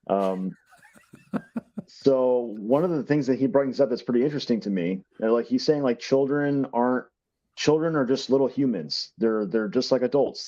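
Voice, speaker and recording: Mellow, lowish man, slightly dull recording. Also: no room sound. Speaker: podcast co-host. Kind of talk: casual explanatory talk. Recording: dull, band-limited dry microphone sound.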